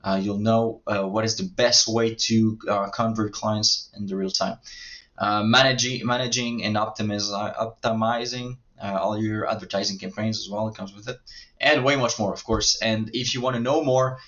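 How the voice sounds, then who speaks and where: A man with a French Canadian accent pitching his marketing services to camera, hesitant, with many fillers and restarts. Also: fairly even intonation, normal speed, fairly bright tone, lo-fi sound.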